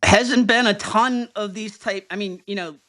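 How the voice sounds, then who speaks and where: A man's voice: high-pitched, fairly even intonation, moderate pace, pushed and bright. A man hosting a podcast in casual chat, eager and often stumbling over his words. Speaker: podcast host.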